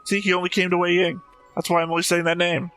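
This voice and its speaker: A man's voice: high-pitched, flat delivery, quick pace, sharp tone, soft background music. A man hosting a reaction show, explaining at length in a casual, musing way.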